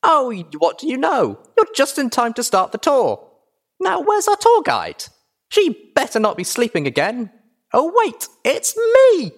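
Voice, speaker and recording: A man voicing a bubbly, excitable young female pony tour guide in an animated storybook reading, gushing and theatrical. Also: very high-pitched, unhurried, pitched above the performer's own voice, full character voice. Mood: giddy, excited. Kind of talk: animated audiobook character voice.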